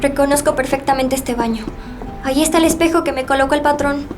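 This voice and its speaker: A woman's voice: high-pitched, fast, music in the background, natural voice. A woman acting a kidnapping victim who testifies with firm certainty in an audio drama.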